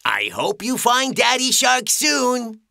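Kids' cartoon: drowsy, startled shark, theatrical delivery, bright and gravelly, close dry sound. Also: female voice, a little high, huge pitch swings, takes it slowly, dry recording, full character voice. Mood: startled, then helpful. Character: sleepy, grumpy shark disturbed from rest, then helpful. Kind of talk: children's cartoon acting.

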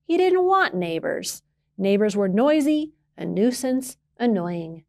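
A woman reading a children's picture book aloud as a library storyteller, expressively, with emphasis and dramatic pauses. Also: medium pitch, big pitch swings, a little mellow.

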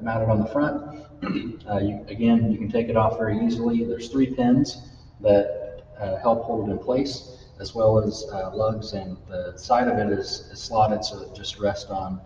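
A young man giving a casual live product demo, halting with many ums and uhs. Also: lowish voice, fairly even intonation, warm tone, a little hiss or hum. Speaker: product demonstrator. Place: large trade-show style hall, indoors. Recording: distant echoey hall, dull sound.

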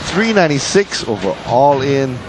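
Lively male bowling commentator, noisy background. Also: medium pitch, normal speed. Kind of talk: live sports commentary with some sponsor reads. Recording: noisy bowling alley broadcast.

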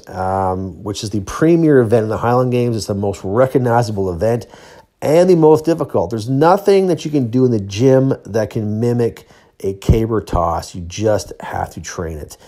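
A middle-aged man with a fairly low voice narrates a training vlog. He talks casually and plainly, explaining things step by step like an athlete sharing his routine. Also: dark tone.

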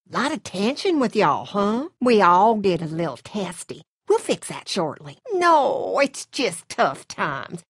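A woman's voice: medium pitch, no room sound, clean studio sound. A woman playing a middle-aged Southern farm mother from a video game, with folksy, effusive hospitality and a controlling streak. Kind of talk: video game character acting.

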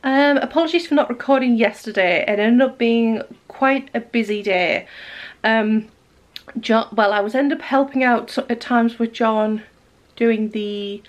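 A woman in her forties, a bit croaky, chatting casually to camera in her living room about her day and her knitting, with lots of ums. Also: fairly high pitch, steady tone, a little slow. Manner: chatty, rambling with many ums. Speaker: knitting vlogger. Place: living room at home, indoors. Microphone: camera close in front.

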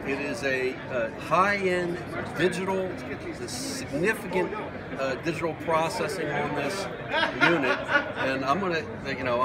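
A middle-aged man with a slightly high voice hosts a casual interview about a product. He is on a busy trade show floor, with a loud crowd all around him. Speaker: host interviewing a product developer. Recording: loud convention crowd and announcements behind.

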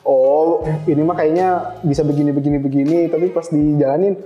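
A young man with a fairly high voice talks informally as an interview guest, sketching examples as he explains. The room is clearly echoey, with music playing underneath. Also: steady tone, brisk, lo-fi sound. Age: young adult. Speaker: interview guest, cyber security engineer. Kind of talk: casual explanatory interview chat. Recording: roomy echo, faint background music.

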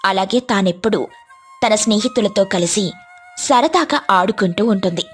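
A woman's voice: medium pitch, lively intonation, moderate pace. A woman storyteller reading a scripted children's story narration. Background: music.

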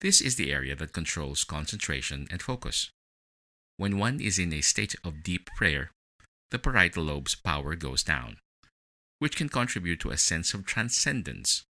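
A man hosting a meditation podcast, reading a script in a measured, deliberate way. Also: deep voice, big pitch swings, sharp tone. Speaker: podcast host.

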